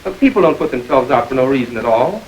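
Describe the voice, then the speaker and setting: A man's voice: mid-range voice, very animated, fast, a little mellow, highs cut off, slight background noise, close to an everyday voice. An actor playing a suspicious, streetwise young man and fiancé in a 1930s crime film. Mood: wary. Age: young adult.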